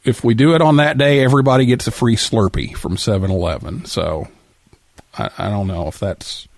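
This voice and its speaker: A man hosting a live call-in show, casually reading out listener messages in a halting way with repeated words. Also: lowish voice, vocal fry, no room sound. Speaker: radio show host.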